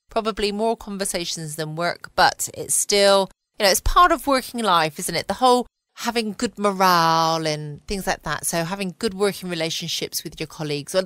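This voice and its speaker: A woman's voice: medium pitch, fairly bright tone, dry recording. A woman with a British accent chatting casually as the host of a solo podcast. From United Kingdom. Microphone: close mic in a dry home room.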